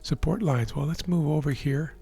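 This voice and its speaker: A man with a hoarse, worn voice calmly explaining the markets as a trading educator, often checking in with the listener. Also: on the high side, normal speed, faint music behind. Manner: relaxed, explanatory, checks in with "right?". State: hoarse, losing his voice. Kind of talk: live market commentary over a chart screen.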